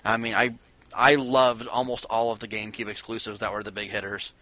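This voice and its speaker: Bright-voiced man in his thirties, dry sound. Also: on the low side, flat delivery, fairly quick, dry recording, lo-fi sound. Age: early 30s. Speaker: podcast host. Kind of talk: casual podcast discussion of video games.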